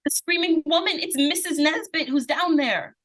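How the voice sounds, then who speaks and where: A woman playing an earnest, excitable young daughter in an old-time radio suspense drama. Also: high voice, normal speed. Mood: frantic, pleading.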